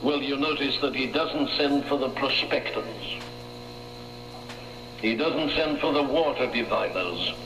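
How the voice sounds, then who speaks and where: Male preacher on the radio, deliberate sermon style, roomy echo, noisy. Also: medium pitch. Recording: echoey noisy radio played in room.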